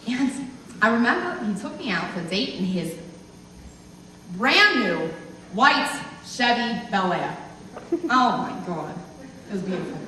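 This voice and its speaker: A woman playing an embarrassed, reluctant old lady in a theatrical monologue, chatty and halting as she reminisces about her youth. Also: fairly high pitch, sharp tone, heavily acted. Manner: flustered, chatty, halting, exclamatory. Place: live stage in a large hall. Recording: echoey hall from far off, faint noise.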